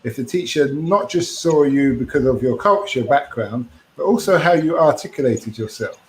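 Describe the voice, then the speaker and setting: A man's voice: mid-range voice, lively intonation, normal speed, low-quality recording. A man co-hosting a podcast, chatting casually and thoughtfully over a video call, often restarting his phrases. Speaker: podcast co-host.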